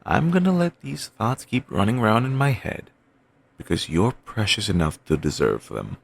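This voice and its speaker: A man voicing an obsessive, doting young boyfriend, rambling softly in a bedtime comfort roleplay. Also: lowish voice, sing-song, normal speed, creaky, breathy edge, full character voice. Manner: soft, rambling, affectionate murmuring.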